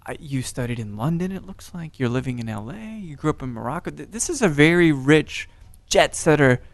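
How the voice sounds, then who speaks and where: A man's voice: a little high, animated. A man hosting a podcast interview, joking and laughing easily in casual chat. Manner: playful, jokey, quick to laugh. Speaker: podcast host.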